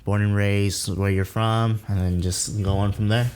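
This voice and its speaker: A man's voice: lowish voice, flat delivery, unhurried, a little mellow, a little hiss or hum. A young man hosting a podcast in a casual, chatty way. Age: young adult. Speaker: podcast host. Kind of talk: casual chat.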